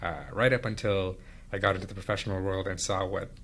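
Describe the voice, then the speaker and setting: A man who grew up in India, Venezuela and Canada, reflecting on his life as an interview guest in a measured, explanatory way. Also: deep voice, fairly even intonation, fairly bright tone, a little hiss or hum. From India and Venezuela, then Canada from age thirteen. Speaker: podcast guest, business executive. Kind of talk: conversational interview, reflective personal storytelling.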